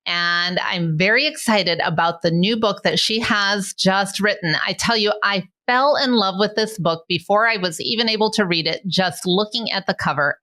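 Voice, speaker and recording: A woman's voice: lowish voice, pushed and bright. A woman from Michigan hosting an interview podcast, talking eagerly and laughing often. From Michigan, United States. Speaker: podcast host. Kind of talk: conversational interview. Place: home in South Sudan.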